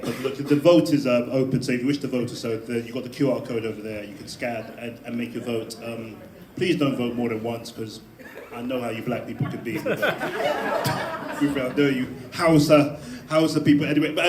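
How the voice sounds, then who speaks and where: A man's voice: medium pitch, lively intonation. A man moderating a live debate, teasing the audience and apologising as he moves things along. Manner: jokey, apologetic, brisk. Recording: noisy crowded echoey hall.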